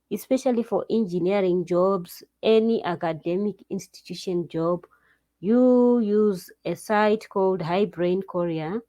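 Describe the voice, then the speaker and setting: A woman with a fairly low, warm, mellow voice gives practical advice to her viewers. She takes her time, drifting into asides and restarting her sentences as she goes. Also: highs cut off. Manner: unhurried, loose, with restarts and asides. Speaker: vlogger giving job advice. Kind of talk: casual advice talk to camera. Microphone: screen-share recording.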